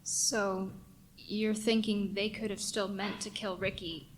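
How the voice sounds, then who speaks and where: A woman's voice: mid-range voice, level tone, slow, natural voice, rough recording. Woman acting an earnest, questioning coworker. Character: earnest, questioning female radio station coworker. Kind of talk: radio play dialogue. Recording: faint background noise.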